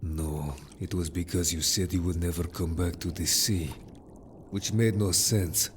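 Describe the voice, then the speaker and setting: A man's voice: low voice, steady tone, measured pace, a little hiss or hum. A man playing a protective, earnest merman in an audio story, speaking bluntly and a little formally to the listener. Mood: reproachful. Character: gruff, protective male merfolk, loyal patrolling adventurer. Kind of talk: audio drama roleplay addressed to listener.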